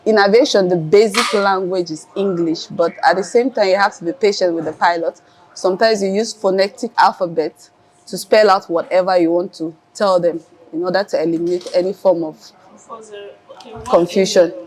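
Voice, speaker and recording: A woman's voice: lowish voice, fairly even intonation, moderate pace, a little mellow, rough recording. A woman, an air traffic controller, giving an instructive explanation of her work. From Nigeria.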